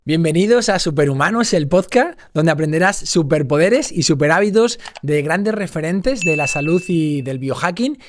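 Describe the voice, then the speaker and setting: A man's voice: fairly high pitch, lively intonation, moderate pace, few pauses, slight background noise. A man hosting a podcast in a conversational style, introducing and questioning as he goes. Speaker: podcast host. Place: TV studio.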